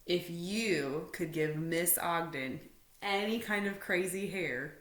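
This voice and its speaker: A woman's voice: lowish voice, takes it slowly, fairly bright tone. A woman reading a children's storybook aloud expressively, like a teacher to pupils. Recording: roomy indoor echo.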